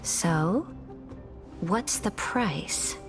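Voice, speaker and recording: An actress playing an imperious, calculating ruler in a video game, with a commanding, haughty and deliberate manner. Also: female voice, fairly low pitch, lively intonation, music in the background, full character voice. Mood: resolute. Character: imperious, calculating female ruler, coolly decisive. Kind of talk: scripted video game voice acting.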